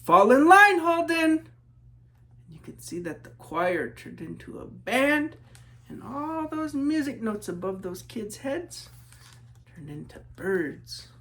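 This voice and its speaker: A man from New Mexico reading his children's book aloud in measured rhythm, as a storyteller talking to young listeners. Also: sits high, sing-song. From New Mexico, United States.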